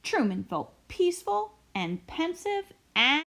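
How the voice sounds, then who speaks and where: A woman reading a children's picture book aloud expressively, with dramatic, deliberate phrasing and emphasised words. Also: sits high, big pitch swings, gravelly, fairly bright tone. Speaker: read-aloud storyteller.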